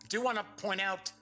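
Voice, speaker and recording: A man hosting a casual board game news show, chatty and upbeat, his voice a bit congested and raspy. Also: high-pitched, pushed and bright. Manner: chatty, upbeat, reads press releases aloud.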